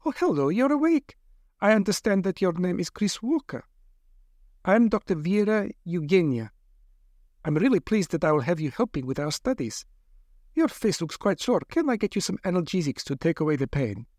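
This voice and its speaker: Storybook villain: a man playing a brisk, falsely friendly woman doctor, high and insistent. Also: big pitch swings, a little mellow, no room sound, clean studio sound. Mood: coaxing. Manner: polite, clipped, coolly insistent. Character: brisk cold female doctor, scientist experimenting on captives.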